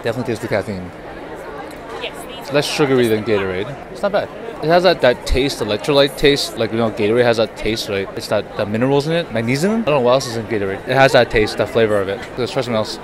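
A man's voice: mid-range voice, quick pace. A man in his thirties hosting a casual tasting video, talking through each bite as he goes. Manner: chatty, reacts aloud while tasting. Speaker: host. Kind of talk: casual food-tasting street interview. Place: busy indoor expo hall. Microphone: handheld mic. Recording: crowd chatter and hall noise behind.

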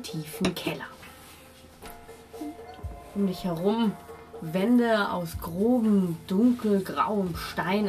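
A woman leads a tabletop game as its narrator. She sets scenes very slowly at a medium pitch, leaving dramatic pauses, with music playing behind her. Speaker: game master.

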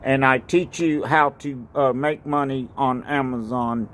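An older man from North Carolina talks outdoors on a porch into a phone at arm's length, rambling and halting with many fillers and repeated thank-yous. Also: mid-range voice, steady tone, fairly bright tone, a little hiss or hum. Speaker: ski racer and video maker. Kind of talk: casual home video. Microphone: phone camera at arm's length.

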